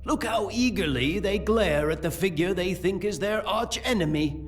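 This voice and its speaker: An actor playing a scheming, gloating villainous priest in an audio drama, with theatrical, drawn-out exclamations. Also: male voice, high voice, distant mic, faint music behind, lightly acted. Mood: amused contempt.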